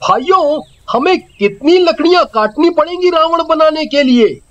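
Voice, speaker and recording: A performer voicing an excitable, teasing village boy in a children's cartoon. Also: sits high, moderate pace, dull audio. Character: excitable village boy building an effigy with friends. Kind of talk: Hindi children's cartoon voice acting.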